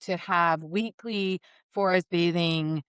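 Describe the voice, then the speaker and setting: An earnest woman talks thoughtfully and often restarts her sentences. Her voice is smooth and clear, and the sound is dry and close. She speaks as a guest on a remote call. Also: fairly low pitch, steady tone, slow pace, fairly bright tone, no room sound. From Massachusetts, USA. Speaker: guest, retreat leader. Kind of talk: casual conversation.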